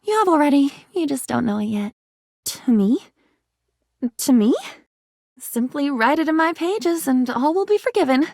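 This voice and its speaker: A woman voicing a manic, dramatic living diary in a video game, mercurial and aristocratic, swinging between dignity and excitability. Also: high-pitched, normal speed, gravelly, pauses often, no room sound, studio quality. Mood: grandiose. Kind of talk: video game character acting.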